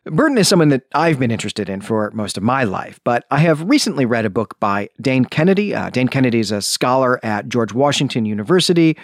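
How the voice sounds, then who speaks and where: A man's voice: mid-range voice, sing-song, a little fast, hardly any pauses, no room sound, studio quality. Enthusiastic, bookish man hosting a podcast. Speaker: podcast host. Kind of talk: informal prepared talk on a book and history.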